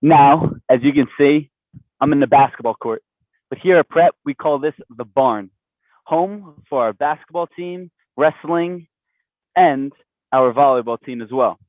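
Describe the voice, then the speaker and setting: A man's voice: mid-range voice, unhurried, no room sound, narrow, tinny audio. A young man in his late teens guides viewers around an indoor basketball court as a student tour guide. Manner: presenting, guiding viewers.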